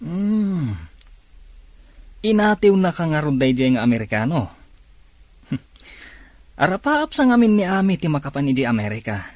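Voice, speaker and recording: A man's voice: a little high, very animated, moderate pace, pauses often, darkish tone, no room sound. A man with an Ilocano accent playing a teasing, consoling best buddy in a radio drama. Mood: teasing, concerned.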